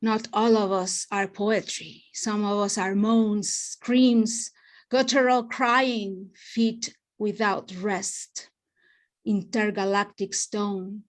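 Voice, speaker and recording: A woman slam poet performing spoken-word poetry in measured, deliberate phrases. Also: medium pitch, fairly even intonation.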